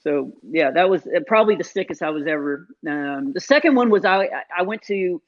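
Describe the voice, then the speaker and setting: A man's voice: high-pitched, a little fast. Man telling funny stories, lo-fi. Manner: animated, self-deprecating, many fillers. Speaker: comedian guest. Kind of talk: casual storytelling in an interview. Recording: dull lo-fi sound.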